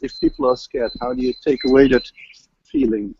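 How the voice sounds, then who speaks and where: A man's voice: mid-range voice, fairly even intonation, fairly quick, warm tone, husky, no echo. A man interviewing local residents, asking questions and prompting his guests by finishing their sentences. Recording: dull lo-fi audio.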